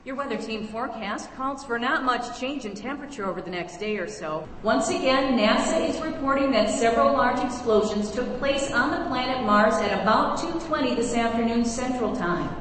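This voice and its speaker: A woman playing a composed news anchor in a radio drama, reading bulletins in a measured, formal way. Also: a little high, animated, fairly bright tone. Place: live theater stage. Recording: very echoey hall, faint noise.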